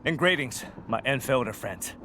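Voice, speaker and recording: A man's voice: medium pitch, animated, moderate pace, background noise, lightly acted. An actor playing a loyal, dutiful male squad officer in a video game, giving military reports and orders. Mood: respectful, businesslike. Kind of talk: scripted video game voice acting.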